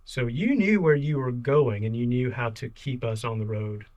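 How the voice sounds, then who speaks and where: A man at a middle pitch hosts a casual interview. He backs up his guest with agreement and asks long, rambling questions. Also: moderate pace, darkish tone, dull audio. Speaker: podcast host.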